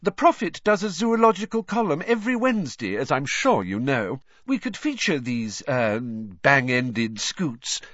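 A man voicing a nosy woman tabloid reporter who talks with falsely sweet, lively interest. The audio is slightly dull and dry. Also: medium pitch, unhurried, hardly any pauses, no room sound, voice pushed up. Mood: gushing.

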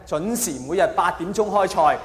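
A man's voice: sits high, normal speed. A man with a Hong Kong Cantonese accent delivering a colloquial stand-up monologue, full of exaggeration and rhetorical questions. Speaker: stand-up comedian. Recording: echoey hall, faint background noise.

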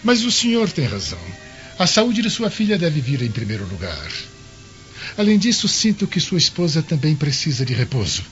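A man's voice: fairly high pitch, wildly swooping pitch, moderate pace, a bit bright. A man playing a suave, evasive Roman governor in a radio drama, speaking with theatrical, hesitant courtesy. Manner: theatrical, hesitant, smooth-talking. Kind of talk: Brazilian radio drama acting. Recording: dull band-limited radio sound, faint music.

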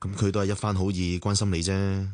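An actor with a Hong Kong accent playing a considerate, tactful confidant in a radio drama, in a gentle conversational manner. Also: male voice, low voice, flat delivery, quick pace, no echo, clean studio sound, natural voice. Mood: concerned.

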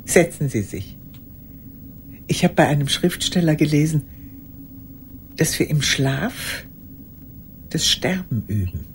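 Old woman, radio play, lonely and formal, very slow delivery, widely swinging pitch, faint background noise. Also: expressive, exaggerated voice. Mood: quiet, reflective. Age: older. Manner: measured, deliberate, formal address.

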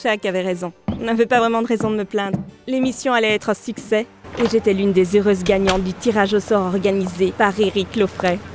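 A woman playing a candid, informal radio show writer in an audio drama, with expressive delivery. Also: mid-range voice, brisk, close to an everyday voice. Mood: musing, curious. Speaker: radio studio staffer, narrator. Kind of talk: audio drama dialogue and first-person narration. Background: music.

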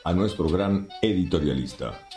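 A man's voice: deep voice, animated, moderate pace, echoey room, music in the background. A man playing a grandiose announcer, giving mock-solemn introductions in a comic radio play. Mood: grand. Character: grandiose deep-voiced male radio announcer.

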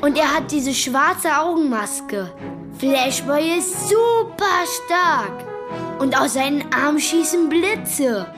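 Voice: high-pitched, animated, slow pace, music in the background. A performer playing a timid, anxious schoolboy in a children's radio play, speaking hesitantly. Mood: earnest. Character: timid, easily frightened schoolboy in superhero costume.

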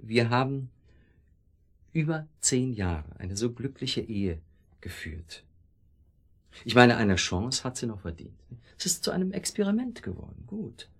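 A man acting a middle-aged, irritable and evasive husband in a German radio play, speaking in a sardonic, halting way. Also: medium pitch, sing-song, husky, heavily acted. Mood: brooding, haunted.

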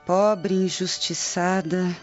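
Radio drama aunt: middle-aged, Brazilian accent, compassionate and grave, measured delivery, muffled sound. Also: female voice, lowish voice, steady tone. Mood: pitying. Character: compassionate, grave Roman aunt confiding family troubles. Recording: dull radio drama, loud music behind.

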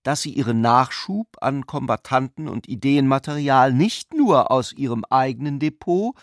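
A man's voice: medium pitch, lively intonation, normal speed, dry recording, studio quality. A man reading literary prose and its dialogue as the narrator of a radio play.

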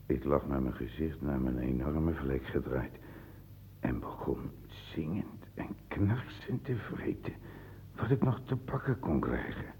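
A man's voice: expressive, a little slow, dark tone. An actor playing a dead old drunkard in a radio play, rambling theatrically and mocking himself as he buttonholes the listener. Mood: eerie, fevered. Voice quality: very deep, gravelly. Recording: old radio recording with noise.

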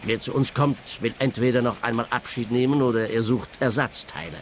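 Schauspieler als ernster Schrottplatzchef, leichte Hintergrundgeräusche. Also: male voice, mid-range voice, fairly even intonation, a little fast, gravelly, a little hiss or hum, lightly acted. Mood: solemn. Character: earnest, matter-of-fact male scrapyard boss. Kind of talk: radio play dialogue.

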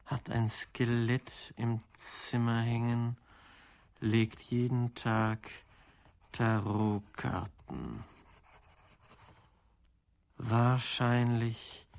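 Fussy analytical nephew, middle pitch, deliberate pace, anxious, precise, radio drama. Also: male voice. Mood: dry, methodical. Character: fussy, anxious, analytical nephew, dutiful toward his aunt. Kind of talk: German radio drama acting.

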